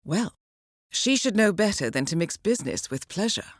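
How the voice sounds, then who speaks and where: Cool, expressive woman handler. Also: mid-range voice, moderate pace, no echo, clean studio sound. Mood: measured briefing. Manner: cool, formal, precise, understated. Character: composed, dryly witty female intelligence handler briefing an assassin. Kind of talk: scripted video game mission briefing.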